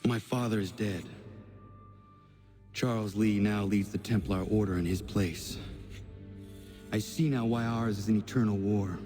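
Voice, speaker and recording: An actor playing an earnest, stern young assassin in a video game, speaking gravely and formally with determination. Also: male voice, on the low side, lightly acted. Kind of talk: dramatic video game acting.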